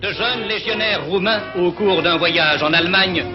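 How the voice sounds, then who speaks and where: High-pitched vintage newsreel man. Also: moderate pace. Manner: formal, declamatory announcer delivery. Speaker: newsreel narrator. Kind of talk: 1940s newsreel scripted narration. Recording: dull vintage 1940 newsreel soundtrack. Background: music.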